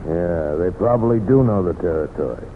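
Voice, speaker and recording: Gruff outlaw henchman, lowish voice, distrustful, old radio western, tinny sound. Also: lively intonation, normal speed. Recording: tinny, faintly noisy old radio recording.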